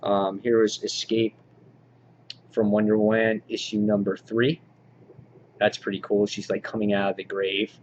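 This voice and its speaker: A man giving an informal, halting show-and-tell commentary as a hobby vlog host, in an understated way. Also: lowish voice, level tone, darkish tone. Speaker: vlog host showing a comic book collection. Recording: dull lo-fi audio, clear background noise.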